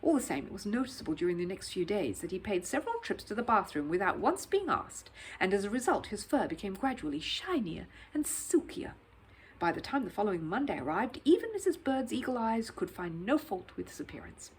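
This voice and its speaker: A woman reading a children's story aloud in expressive scripted narration. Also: medium pitch, brisk, breathy edge, poor audio.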